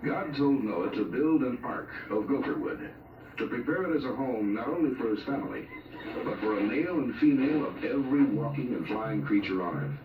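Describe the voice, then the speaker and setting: A man's voice: mid-range voice, lively intonation, fairly quick, narrow, tinny audio, background noise. A man narrating a scripted documentary in a solemn, storytelling manner. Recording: roomy, distant 1970s film soundtrack. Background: loud music.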